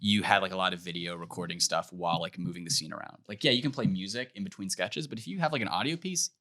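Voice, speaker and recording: A man's voice: sits low, fast, bright, forceful tone. A man joking around on a comedy podcast as a guest sketch writer, committing to absurd bits with mock earnestness.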